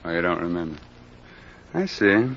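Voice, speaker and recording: A dull, vintage radio recording of a low-pitched police captain. He is brisk and authoritative, with wry sarcasm as he questions suspects in a mystery drama. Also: very animated, gravelly, a bit bright, exaggerated voice. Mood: sardonic. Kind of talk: old-time radio mystery acting. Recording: dull, band-limited old radio recording.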